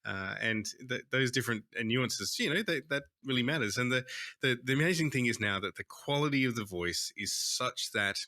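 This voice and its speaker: A man's voice: mid-range voice, expressive, normal speed, sharp tone, dry recording, clean studio sound. A man with an Australian accent, a guest in an interview, talking in a hesitant way with many restarts and fillers. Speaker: guest, tech founder. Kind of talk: conversational interview.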